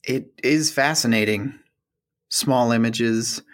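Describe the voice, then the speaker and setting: A male actor plays a hesitant, awkward man in a radio-style play. He talks slowly at a middling pitch, halting and stammering over his phrases. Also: natural voice. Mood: gentle, tentative. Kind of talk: audio play acting.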